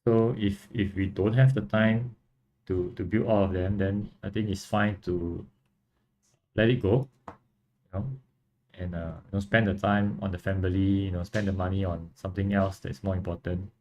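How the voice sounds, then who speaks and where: Singaporean man, deep voice, gravelly, laid-back rambling livestream chat. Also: normal speed, dull audio, a little hiss or hum. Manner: relaxed, rambling, frequent fillers and restarts. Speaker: livestream host and model kit builder. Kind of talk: casual livestream chat answering viewers.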